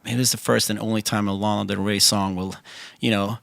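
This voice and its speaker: Swedish-speaking guy, 40s, bright punchy voice, rambly band interview in a dry studio. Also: fairly low pitch, little pitch movement, fast, creaky, no echo. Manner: chatty, restarts often, quotes himself. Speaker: band singer, interview guest. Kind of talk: casual interview conversation.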